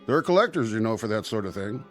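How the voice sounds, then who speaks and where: Dry, shrewd pawnbroker, lively pitch. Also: male voice, fast, soft background music. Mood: dry, businesslike. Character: polite, shrewd male pawnbroker, dryly businesslike. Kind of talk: radio drama acting.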